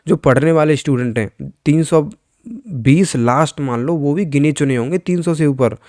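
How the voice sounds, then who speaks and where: A man's voice: on the high side, moderate pace, darkish tone, no echo, clean studio sound. A man presenting exam news as an informal explainer, instructing viewers directly and often repeating himself. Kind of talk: informal explainer commentary over on-screen figures.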